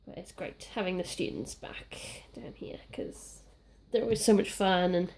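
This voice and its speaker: A young Welsh woman, a little croaky from being sick, chatting casually and rambling while she works on a scrapbook page. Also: fairly low pitch, measured pace, a little mellow. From Wales. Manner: chatty, rambling, self-deprecating. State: slightly croaky from illness. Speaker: scrapbooking crafter. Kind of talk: casual crafting chat.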